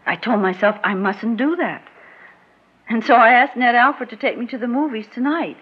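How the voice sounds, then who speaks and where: A woman's voice: a little high, moderate pace, husky. An actress playing a shy, smitten young small-town woman in an old-time radio drama, with hesitant delivery. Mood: nervous longing. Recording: muffled vintage radio recording.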